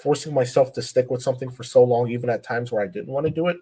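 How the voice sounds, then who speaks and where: A man's voice: medium pitch, fast talker, warm tone, husky. A man in his twenties, a powerlifter guest on a podcast, talking earnestly with many fillers and restarts. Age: 20s. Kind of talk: casual podcast interview.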